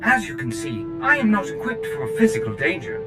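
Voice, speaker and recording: A man's voice: high voice, huge pitch swings, fairly quick. A man voicing a fussy, eager-to-please steward droid in a video game, speaking formally and obsequiously. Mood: eager, servile. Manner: formal, obsequious, proud of its service. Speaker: ship steward. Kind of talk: video game character acting. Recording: music behind the voice.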